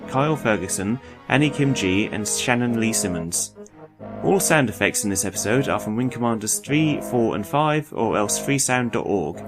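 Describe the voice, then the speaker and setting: A man reading scripted closing credits for an audio drama as an announcer. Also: lowish voice.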